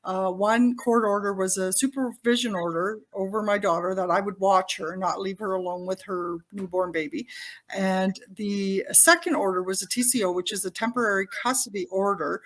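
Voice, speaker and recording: A woman's voice: medium pitch, steady tone, normal speed, poor audio. An older woman from Canada whose first language is Italian, talking casually as a podcast guest over a video call, chatty and prone to restarts. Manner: chatty, laughs often, frequent restarts. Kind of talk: casual interview chat.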